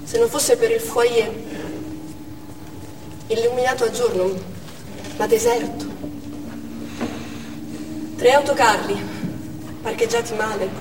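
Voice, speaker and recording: Anxious female medic played by a stage actress, fairly high voice, dramatic monologue, recorded far off with noise. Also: close to an everyday voice. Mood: uneasy, hushed. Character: anxious woman ambulance medic recounting an emergency. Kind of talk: theatrical monologue, first-person narration on stage. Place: theatre stage, distant microphone. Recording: echoey theatre hall from far off, noisy.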